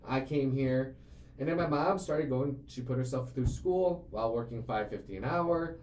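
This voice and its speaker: A man in his late twenties tells his story in a loose, earnest, rambling way, full of fillers and restarts. His voice sits in a middle pitch. The room is echoey, with faint ambient noise underneath. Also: normal speed, a little mellow. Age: 20s. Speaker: CEO and show host. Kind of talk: casual conversational podcast chat.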